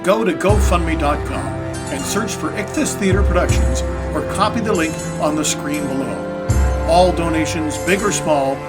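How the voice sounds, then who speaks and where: Male announcer, scripted credits read, fairly high pitch, prominent loud music bed. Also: a little slow. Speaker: announcer and director.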